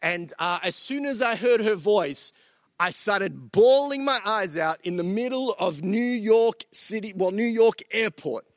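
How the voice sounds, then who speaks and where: A man's voice: high voice, expressive, measured pace, no room sound. A man born in El Salvador preaching a sermon to his church and home viewers, earnest and repetitive, with many fillers and restarts. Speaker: pastor.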